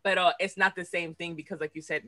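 A young man hosts with an easygoing, slangy delivery, flipping between Spanish and English in mid-sentence. His voice is bright and forward, sitting a little high for a man. The recording is close and dry. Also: fairly even intonation, fairly quick, no room sound. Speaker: podcast host. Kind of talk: casual interview chat.

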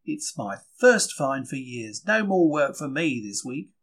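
Husky, plucky bookseller, acted by a man. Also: medium pitch, sing-song, measured pace, fairly bright tone, full character voice. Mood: matter-of-fact, indignant. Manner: colloquial, wry, emphatic storytelling. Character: young 1930s London working-class bookseller, chatty, plucky, easily wounded. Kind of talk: dramatic first-person diary reading.

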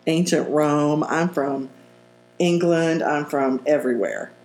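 A woman's voice: low-pitched, slow, a little mellow, faint music behind, low-quality recording. A woman hosting a casual chat show, chatty and enthusiastic, eagerly agreeing with her guest. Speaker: podcast host. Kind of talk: casual conversation.

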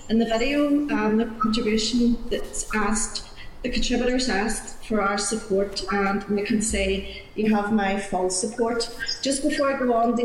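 A woman with a Northern Irish accent, deputy chairperson earnestly putting questions to a committee hearing. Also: on the high side. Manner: earnest, repeats words while searching. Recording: echoey room with faint noise.

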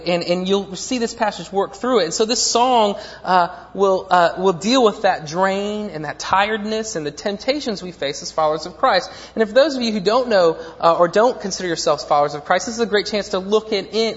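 A man preaching a sermon as pastor, rambling and self-deprecating, with many fillers and restarts. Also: high voice. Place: church. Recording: dull lo-fi church recording with long reverb.

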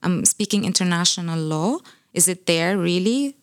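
A woman's voice: lowish voice, expressive, moderate pace, clean tone, no echo. A Palestinian woman giving an impassioned interview answer, full of rhetorical questions.

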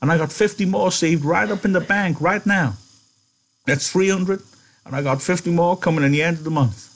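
A man's voice: sits high, normal speed, voice pushed up. A man voicing an eager old ranch hand. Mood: pleading. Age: older. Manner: earnest, pleading. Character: old one-handed ranch swamper, eager and hopeful.

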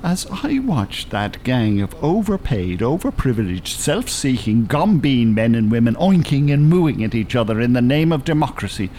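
Actor as wordy older Dublin gent, swooping delivery. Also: male voice, mid-range voice, very animated, moderate pace, few pauses, dark tone, a little hiss or hum, lightly acted. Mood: indignant, pontificating. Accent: Dublin Irish. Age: 70s. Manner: wordy, theatrical, pompous. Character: eloquent, cantankerous, world-weary older Dublin gentleman. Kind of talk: radio drama acting.

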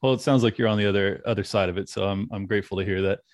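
A man's voice: on the low side, steady tone, very fast, no room sound, studio quality. A man hosting a podcast interview, friendly and joking with his guest. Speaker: podcast host. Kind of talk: casual interview chat.

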